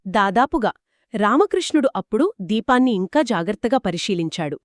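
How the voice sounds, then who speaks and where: A woman narrates a story as one of two hosts, with a lively, swinging melody in her mid-pitched voice. She explains in an expressive, conversational way, often affirming her partner. The sound is close and dry. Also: brisk, no room sound. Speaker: storyteller host. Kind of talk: conversational moral storytelling in a two-host dialogue.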